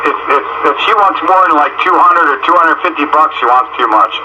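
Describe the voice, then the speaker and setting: A man's voice: a little high, brisk. American guy rambling on a two-way radio, dull muffled sound, noisy signal. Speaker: amateur radio operator. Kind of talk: casual chat over two-way radio.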